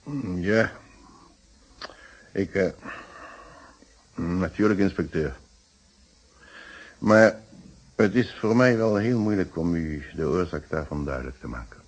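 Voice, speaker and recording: A middle-aged man in a radio drama, speaking in a low, husky voice. He is a decent, polite witness who picks his words with care and hesitates. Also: lively intonation, slow pace, long pauses, heavily acted, low-quality recording. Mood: embarrassed, reluctant. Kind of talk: Dutch radio play acting.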